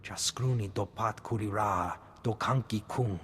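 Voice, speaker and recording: A man's voice: fairly low pitch, a little slow, a bit bright, slight background noise. A man voicing a scheming, glib alien in a video game, speaking in invented alien syllables. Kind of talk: video game creature acting. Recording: long reverb with music behind.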